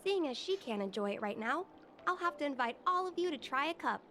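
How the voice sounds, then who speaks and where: High, lively young tea house owner. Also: female voice, a little fast, a little hiss or hum. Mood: wistful, hopeful. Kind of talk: video game voice acting. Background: game music.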